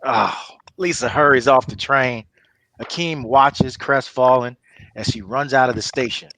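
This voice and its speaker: A man's voice: medium pitch, a little slow, pushed and bright. A man narrating a comedy table read, reading stage directions with loose improvised asides.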